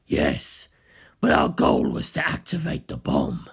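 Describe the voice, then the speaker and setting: An aloof, all-knowing superhuman man. The voice drops very low and heavy. Words come slowly and deliberately, with cool dismissiveness. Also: wildly swooping pitch, vocal fry, breathy edge, exaggerated voice. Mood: calm, knowing. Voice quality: very deep, heavy voice.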